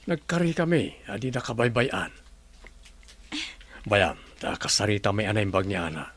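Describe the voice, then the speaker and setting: An actor playing an apologetic, fatherly middle-aged uncle in a radio drama, earnest and gentle. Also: lowish voice, sing-song, normal speed, husky, heavily acted.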